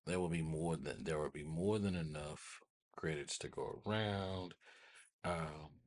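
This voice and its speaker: Super deep raspy guy doing a casual screen share tutorial, kinda talks to himself, repeats stuff. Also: unhurried. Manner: informal, thinking aloud, repeats phrases. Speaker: tutorial host.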